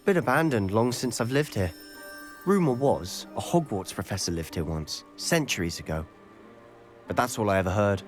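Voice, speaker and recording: Guy voicing a british teen wizard in a video game, curious and doubtful, really lively intonation. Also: fairly low pitch, moderate pace, faint music behind, lightly acted. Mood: pensive. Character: teenage schoolboy wizard, curious, sceptical, determined friend. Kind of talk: video game dialogue acting.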